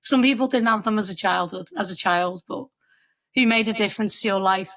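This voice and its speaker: A woman's voice: mid-range voice, brisk, a bit bright, poor audio. A woman with a Scouse accent hosting a podcast interview, chatty and encouraging, often restarting her sentences. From Liverpool area. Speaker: podcast host.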